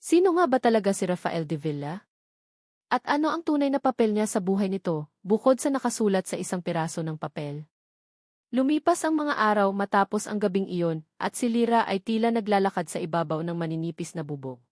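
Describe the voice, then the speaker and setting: A woman with a Filipino accent narrating a scripted romantic audio drama in a measured, dramatic storytelling manner with deliberate pauses. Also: mid-range voice, lively intonation, darkish tone, dry recording, clean studio sound.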